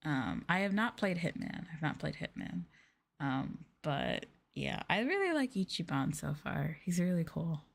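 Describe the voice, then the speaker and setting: Soft-spoken woman, lowish voice, ASMR chat. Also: big pitch swings, measured pace, creaky. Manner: soft, gentle talking. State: sleepy, winding down. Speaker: virtual streamer hosting a live stream.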